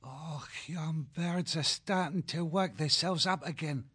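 A man's voice: a little high, slow, a bit bright, husky, heavily acted. A man voicing a bold young male falcon with a Scottish accent and a brash, boastful manner.

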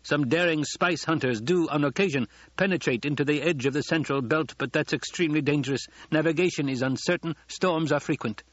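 A man's voice: on the high side, a little fast, hardly any pauses, fairly bright tone, no echo, lo-fi sound, pitched above the performer's own voice. A man voicing a dry, authoritative scientist in an audiobook, speaking precisely and formally. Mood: cautious, factual. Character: male planetary scientist, dry, authoritative, cautious. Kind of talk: audiobook character acting.

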